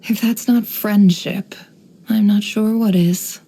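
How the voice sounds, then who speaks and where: An actress playing a shrewd, worldly woman who runs an intelligence business in a video game, speaking in a composed, wry, measured way. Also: mid-range voice, fairly even intonation, dark tone, breathy edge. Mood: knowing, musing. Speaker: video game character voice actor. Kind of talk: scripted game character voice lines, monologue.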